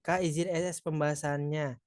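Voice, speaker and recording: A man's voice: fairly high pitch, flat delivery, normal speed, no echo, clean studio sound. A man from Madura with a Madurese accent, tutoring a live online exam practice session, chatty and encouraging, joking with participants.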